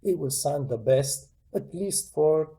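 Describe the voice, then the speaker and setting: Gravelly man explaining casually, noisy recording. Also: on the high side, lively intonation, slow. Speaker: tutorial presenter. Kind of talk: casual hands-on demonstration, unscripted. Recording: clearly noisy home recording with clicks.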